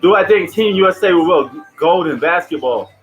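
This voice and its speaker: A man's voice: a little high, animated, moderate pace, soft background music. Laid-back New man, slangy chat. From New York. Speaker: live stream host. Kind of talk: live stream reaction commentary. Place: at home.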